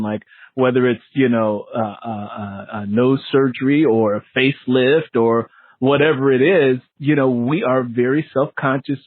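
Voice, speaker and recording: A man's voice: mid-range voice, husky, dry recording, narrow, tinny audio. A man hosting a casual book interview as a fellow author, speaking in an informal, colloquial way.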